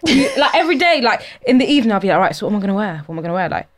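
A young woman co-hosting a casual podcast chat. She tells stories quickly, laughs often and sounds animated. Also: medium pitch. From England. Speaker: podcast co-host.

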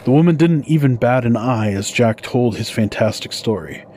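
A man's voice: medium pitch, lively intonation, moderate pace, dark tone, slight background noise. A man hosting a podcast, narrating folktales from a script in a storytelling style. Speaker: podcast host. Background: music.

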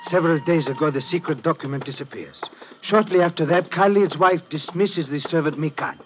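A man's voice: on the high side, brisk, a little hiss or hum, natural voice. A man playing a stern, formal police lieutenant from abroad in a 1950s radio drama, speaking precisely and without contractions. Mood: thoughtful, deliberate. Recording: dull old radio broadcast.

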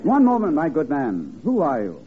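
An actor playing a pompous, absent-minded master detective with theatrical delivery in a 1950s radio comedy spoof. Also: male voice, fairly high pitch, huge pitch swings, normal speed. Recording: tinny, band-limited old radio recording with hiss.

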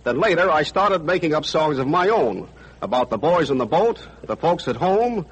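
Male seaman, scripted wartime radio guest, slightly high pitch, roughened tone. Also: sing-song, normal speed, rough recording. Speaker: merchant marine chief steward. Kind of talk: scripted 1940s radio interview answers.

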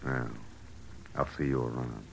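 A man's voice: a bass voice, level tone, a little slow, gravelly, a little hiss or hum, heavily acted. A man playing a hard-boiled, wisecracking private detective in a classic American radio drama, terse and sardonic, with an American accent. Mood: cool banter. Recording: old radio recording with music behind.

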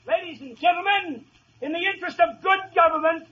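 High-pitched crusading political speaker. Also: male voice, fairly quick. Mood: rallying. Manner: projected public oratory. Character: earnest crusading young political speaker addressing a crowd. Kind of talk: 1940s radio comedy acting. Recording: muffled, noisy old radio with crowd.

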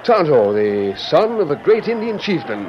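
Hearty, animated old-time president. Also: male voice, on the high side, normal speed. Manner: effusive, formal, hearty. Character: genial, stately, hearty American president. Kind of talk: old-time radio western acting. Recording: muffled, noisy old radio recording.

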